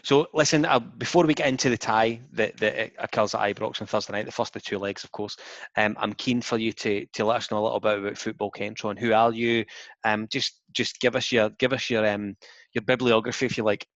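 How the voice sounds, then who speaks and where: A man's voice: fairly low pitch, steady tone, brisk, fairly bright tone, dry recording, lo-fi sound. A man hosting a casual podcast interview from home on a headset, chatty and given to restarts. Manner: chatty, with frequent restarts and fillers. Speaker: podcast host. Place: home room, video call.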